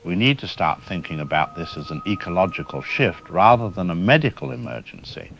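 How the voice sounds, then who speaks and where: A man playing a serious, measured scientist explaining things as a radio interview guest in an audio drama. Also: sits low, animated, fairly bright tone, highs cut off. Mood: measured, warning.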